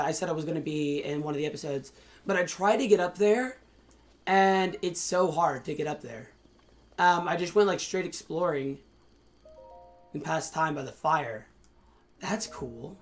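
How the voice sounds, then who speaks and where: Hoarse young man doing game commentary. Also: fairly high pitch. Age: young adult. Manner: excitable, chatty, many fillers. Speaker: gaming channel host. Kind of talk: let's play commentary.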